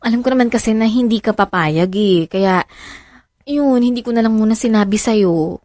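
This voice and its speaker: A woman's voice: fairly high pitch, moderate pace, a little mellow, no echo, studio quality, natural voice. A woman playing a devoted, determined mother in a radio drama, coaxing and pleading expressively. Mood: placating, pleading. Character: devoted, determined young mother and frustrated mistress.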